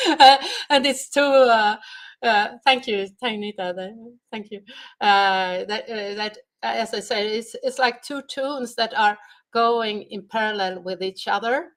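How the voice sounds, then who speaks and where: A woman guest explaining sound healing in a slow, halting way, searching for her words. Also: on the high side, fairly bright tone. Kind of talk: conversational interview answers.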